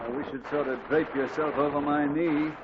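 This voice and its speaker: A gruff, put-upon father voiced by a man in a 1940s radio comedy, grumbling his complaints. His voice is somewhat high. It comes through a muffled old broadcast with heavy hiss. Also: fairly even intonation, moderate pace, natural voice.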